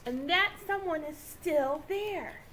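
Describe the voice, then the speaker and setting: A woman voicing a squeaky, upset little baby bear in a children's storybook, exclaiming plaintively. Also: slow pace, fairly bright tone, slight background noise. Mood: alarmed.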